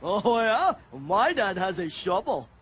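A man's voice: sits high, sing-song, takes it slowly, a bit bright, slight background noise, heavily acted. A man voicing a dim-witted, cheerful oaf of a boy in a cartoon video game, dopey and silly.

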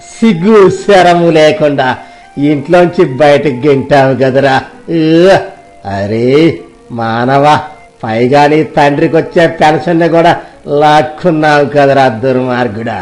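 A man's voice: a little high, a little mellow, close to an everyday voice. Man playing cackling, cruel underworld demon guard. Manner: menacing, taunting, cackling. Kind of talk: dramatised moral story.

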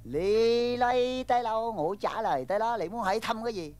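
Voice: medium pitch, animated, moderate pace, darkish tone, close to an everyday voice. A performer playing a meek, evasive husband in a comic sketch, stammering and placating in Chinese-accented Southern Vietnamese. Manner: stammering, repeating words, placating. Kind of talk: comic stage sketch acting.

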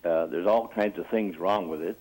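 A man's voice: sits low, moderate pace, a little mellow. A man calling in to a live show, blunt and complaining. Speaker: caller. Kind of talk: phone-in call. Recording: phone line.

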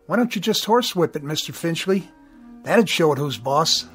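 A man's voice: fairly high pitch, lively intonation, moderate pace, soft background music, lightly acted. An actor playing a patient working-class TV repairman in a radio drama, talking in a blunt, folksy, colloquial way.

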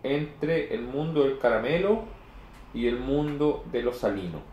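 A man's voice: medium pitch, expressive, measured pace, a little mellow, lo-fi sound. A man in his forties reviewing beer in casual chat, explaining at length with many fillers, recorded from a camera across a home room. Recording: echoey room with faint noise.

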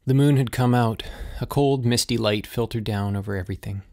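A man's voice: medium pitch, brisk, darkish tone. A man reading scripted narration of a suspense story as an audiobook narrator.

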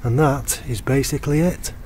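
A man talks through a fishing rig in a relaxed, chatty way. His voice sits at an ordinary middle pitch. It is recorded outdoors on the camera's own mic, with faint outdoor noise in the background. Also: normal speed. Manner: relaxed, chatty, plain-spoken. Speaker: angler showing a fishing rig. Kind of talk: casual how-to vlog. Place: outdoors by a lake.

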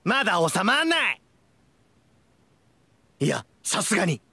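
A man's voice: high voice, wildly swooping pitch, vocal fry, breathy edge, dry recording, heavily acted. A man voicing a hyperactive, goofy, cheerful young man in an anime mobile game, with exaggerated delivery and abrupt bursts. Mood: cheerful, odd. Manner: manic, shouting, drawn-out yells, abrupt bursts.

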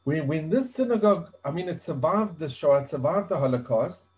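A man asks gentle questions over a video call and rephrases them when he is not heard. His pitch moves a lot. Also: expressive, fairly quick, poor audio. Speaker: interviewer.

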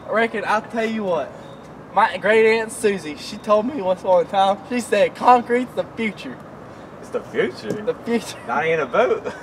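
A man's voice: sits high, animated, moderate pace. Young men putting on rustic Southern country-boy voices, bantering in a drawling hick manner outdoors. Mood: joking. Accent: put-on American Southern. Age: young adult. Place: outdoors on a wooden deck by woods. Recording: noisy outdoor recording.

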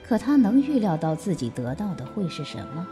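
A woman's voice: fairly low pitch, sing-song, a little fast, music in the background. A woman reading scripted narration for an audio drama.

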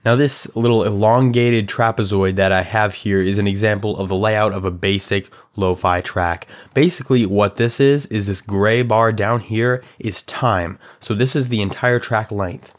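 A young man with a slightly raspy voice, explaining music production in a relaxed, chatty way from a small room at home. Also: fairly low pitch, moderate pace, hardly any pauses. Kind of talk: casual tutorial walkthrough.

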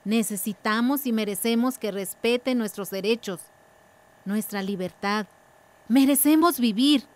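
A woman's voice: fairly high pitch, a little slow, close to an everyday voice. A woman playing a determined, earnest village woman speaking up in a radio drama. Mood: earnest, resolute. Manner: earnest, declarative.

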